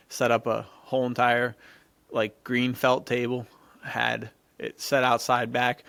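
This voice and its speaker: Young male co-host, mid-pitched with vocal fry, enthusiastic slangy storyteller. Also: a bit bright, no echo. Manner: slangy, enthusiastic, says "dude" often. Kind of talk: casual chat, storytelling.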